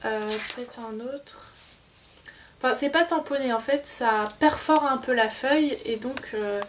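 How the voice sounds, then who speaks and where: A woman's voice: sits high, unhurried, recorded from a distance, slight background noise. A woman casually showing souvenirs to her viewers, hesitating often with fillers and correcting herself mid-sentence. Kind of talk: casual show-and-tell.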